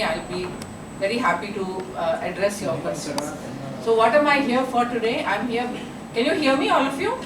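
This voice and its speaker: Loud woman lecturing in an echoey hall. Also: medium pitch. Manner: chatty, engaging, asks the audience questions. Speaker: invited guest speaker. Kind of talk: lecture to college students. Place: college hall. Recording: echoey hall, far from mic, noisy.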